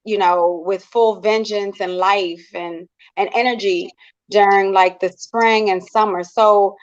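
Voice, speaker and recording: An American woman in a casual panel discussion, speaking in an earnest, expressive, conversational way. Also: mid-range voice, a little slow. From United States. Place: online video call. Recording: dull, lo-fi online call.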